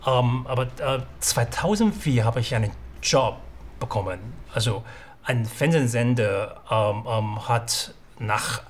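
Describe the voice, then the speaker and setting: Mann mit taiwanischem Akzent, zögernd. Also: male voice, mid-range voice, takes it slowly, slight background noise. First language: Mandarin. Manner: hesitant, many fillers and restarts. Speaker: writer. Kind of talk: documentary interview. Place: small restaurant indoors.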